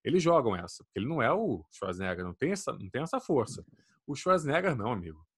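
A man swears his way through a casual podcast chat, his voice swinging widely up and down. The tone is husky and a little rough. He tells stories with lively energy. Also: mid-range voice, fast talker. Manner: animated, swearing, storytelling.

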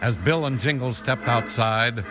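A man's voice: mid-range voice, unhurried, over music. A man announcing on a 1950s radio show with scripted advertising and narration.

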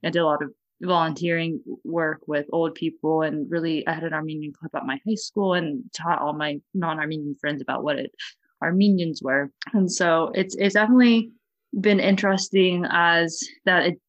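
A woman's voice: deep voice, fairly even intonation, normal speed, no room sound. Young woman, earnest, casual chat, lots of fillers, dull dry audio. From California, USA. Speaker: guest. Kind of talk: casual conversation.

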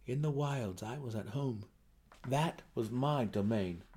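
An earnest male dark elf of noble bearing, acted out by a man reading a storybook. He speaks in formal fantasy language with measured, sincere phrasing. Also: medium pitch, husky. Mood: matter-of-fact.

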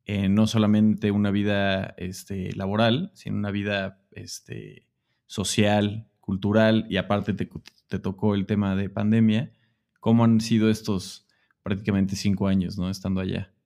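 Male host, lowish pitch, easygoing and rambling in his questions. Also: a little slow, creaky. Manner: relaxed, encouraging backchannels, meandering questions. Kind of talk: casual interview.

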